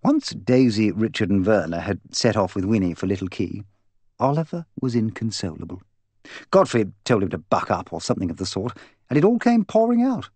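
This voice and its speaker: A man's voice: lowish voice, big pitch swings, fairly quick, darkish tone, no echo. A man voicing an imperious, gossipy, opinionated English woman in an audiobook, bossy, emphatic and voluble. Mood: gossipy, confiding. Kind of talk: audiobook character dialogue.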